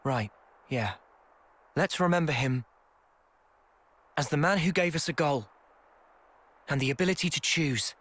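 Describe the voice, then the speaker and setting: A man playing a calm, earnest young squad leader in a video game, measured and conciliatory. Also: fairly high pitch.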